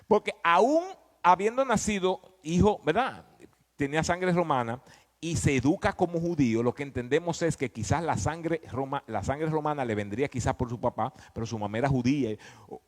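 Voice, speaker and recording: A man preaching in a conversational, emphatic teaching style, often prompting his listeners with questions. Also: fairly high pitch. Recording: echoey hall with long reverb.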